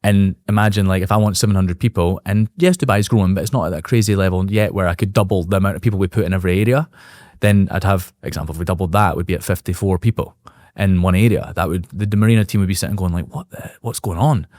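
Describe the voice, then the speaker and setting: A man in his thirties speaks as a guest in a casual business interview, quick and upbeat, often restarting his sentences as he thinks aloud. His voice sits a little low and moves along at a brisk pace. The sound is dry and close, with no room around it. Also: darkish tone, no echo. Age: 30s. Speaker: guest, real estate brokerage owner.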